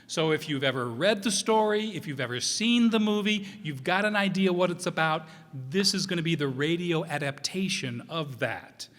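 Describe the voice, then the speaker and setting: Guy chatting to an audience, highish voice, takes his time, kinda rambly, big echoey room. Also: moderate pace, hardly any pauses, a bit bright. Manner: rambling, self-deprecating. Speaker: director previewing upcoming shows. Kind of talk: informal talk to a live audience. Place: live stage in a hall. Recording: reverberant hall.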